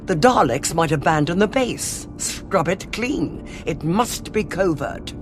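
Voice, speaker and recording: A woman's voice: lowish voice, animated, slow pace. An imperious space commander in a sci-fi radio play. She is clipped and haughty, with very mobile intonation and music under her voice. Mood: businesslike, resolute. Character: brisk, imperious female alien cardinal, military commander. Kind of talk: audio drama acting.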